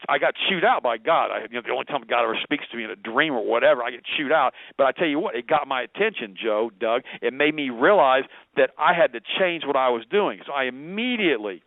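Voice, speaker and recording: Male radio guest in his fifties, German native, rapid rambling delivery, phone-line sound. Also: medium pitch, dry recording. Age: 50s. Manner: rambling, repeats words, earnest. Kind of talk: personal story on a talk radio show. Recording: dry tinny phone line.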